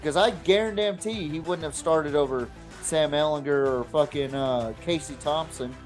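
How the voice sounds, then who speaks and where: A man's voice: fairly high pitch, normal speed, faint music behind. A man hosting a sports podcast in a casual, blunt way, swearing often as he talks. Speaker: podcast host.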